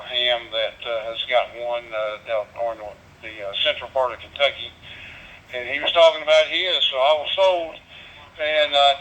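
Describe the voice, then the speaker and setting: A man chatting casually as a ham radio operator over a digital radio link, with halting, folksy phrasing. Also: medium pitch, fairly bright tone, slight background noise. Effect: digital radio transmission. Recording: dull, lo-fi digital radio audio.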